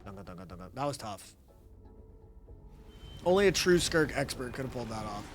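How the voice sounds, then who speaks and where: A man's voice: mid-range voice, very animated, fairly quick, music in the background. A man doing casual live game commentary in a playful, slangy, profane way. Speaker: game streamer.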